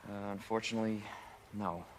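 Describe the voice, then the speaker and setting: A somewhat low American man's voice, performed by an actor as a young horror game survivor. He comes across as sincere and protective. There is obvious noise and effects sound behind him. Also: fairly even intonation, brisk, lightly acted. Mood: warm, reassuring. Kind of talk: naturalistic video game cutscene acting.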